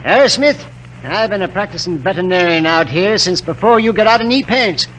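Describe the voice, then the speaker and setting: An American actor playing a hostile older country veterinarian with a folksy, grudging manner in a 1940s radio drama. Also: male voice, high voice, expressive, brisk, fairly bright tone. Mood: scornful. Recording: noisy vintage 1940s radio recording.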